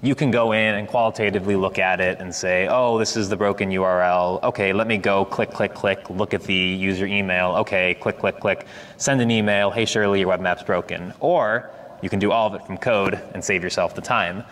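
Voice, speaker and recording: Deep-voiced man presenting in a hall. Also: brisk. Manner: loud voice, energetic, casual with fillers. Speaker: conference presenter. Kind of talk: technical software demo talk. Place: large conference hall.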